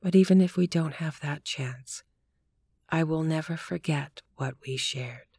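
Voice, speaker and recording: A woman narrating a listener's written story in a calm, slow, measured reading voice. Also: deep voice, creaky, breathy edge, dry recording.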